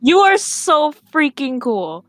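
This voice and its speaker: A woman's voice: high voice, sing-song, slow pace, dry recording, soft background music. A woman player gushing over her friends after a tabletop session on a video call, swearing freely. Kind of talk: casual post-session chat.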